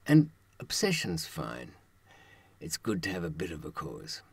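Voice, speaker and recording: Husky older man with a dramatic, swooping delivery. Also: low voice, huge pitch swings, dry recording. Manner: deliberate, dramatic phrasing with pauses and rhetorical questions. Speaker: monologist. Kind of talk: reflective spoken monologue to camera.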